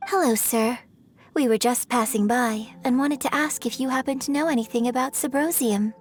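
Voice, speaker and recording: Woman playing a refined, higher-voiced young lady. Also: moderate pace, natural voice. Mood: courteous. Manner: refined, courteous, musing. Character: polite, thoughtful young female opera performer. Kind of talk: video game voice acting.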